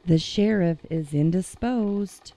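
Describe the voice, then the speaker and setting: A woman's voice: on the low side, takes it slowly, warm tone, a little hiss or hum. A woman playing a composed, teasing deputy in her early sixties in an audio drama. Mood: wry, calm.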